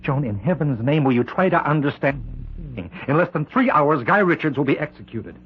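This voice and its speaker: Actor as anguished, higher-pitched prison warden. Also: male voice, expressive, a little fast, close to an everyday voice. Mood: anguished exasperation. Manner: urgent, pleading. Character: anguished, exasperated prison warden arguing with his wife. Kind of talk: 1970s radio drama acting. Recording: dull noisy old radio broadcast.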